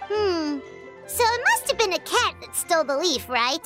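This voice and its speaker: A woman's voice: extremely high pitch, big pitch swings, moderate pace. A woman voicing a tiny, childlike fairy companion in a video game, chirpy, perky and boastful. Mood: curious, puzzled. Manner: chirpy, boastful, refers to herself by name. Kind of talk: video game character acting. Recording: clear music behind the voice.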